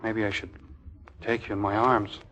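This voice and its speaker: An actor playing a bashful, flustered young army lieutenant who hesitates and stammers, in a 1940s radio comedy. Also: male voice, lowish voice, little pitch movement, moderate pace, a bit bright, a little hiss or hum, close to an everyday voice, rough recording. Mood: shyly tender. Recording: 1940s radio broadcast recording.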